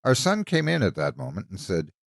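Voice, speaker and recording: A man with a low, deep voice narrates like a seasoned storyteller. His intonation moves widely and dramatically over measured, scripted phrases. The recording is dry and close, with very little room sound. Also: wildly swooping pitch, gravelly, no echo. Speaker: story narrator and channel host.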